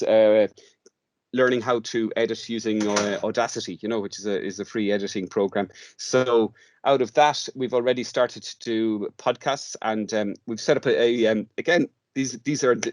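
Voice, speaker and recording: An Irish man talking conversationally as an interview guest, halting and often repeating words as he explains. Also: fairly low pitch, dry recording. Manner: hesitant, halting, with stammered word repeats. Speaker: guest, audio theatre workshop leader.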